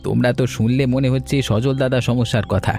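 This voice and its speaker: A man's voice: mid-range voice, steady tone, fast, creaky, warm tone, faint music behind, lightly acted. A man voicing friendly, courteous village men in a moral-story cartoon, speaking earnestly. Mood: concerned. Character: friendly village men, a nursery seller and his customer.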